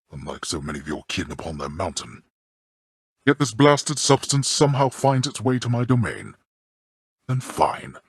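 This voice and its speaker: An actor playing a stern, proud old god of the underworld and gruff father in a video game, speaking in a formal, archaic, imperious and curt way with a deep, gravelly, husky voice. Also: no room sound, studio quality, exaggerated voice. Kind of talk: video game character acting.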